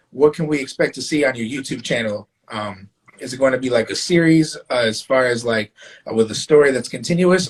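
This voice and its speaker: A man's voice: mid-range voice, breathy edge. A man hosting a casual live-stream interview show, speaking loosely with lots of fillers and restarts. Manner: loose, filler-heavy, stumbles over his words. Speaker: live talk show host.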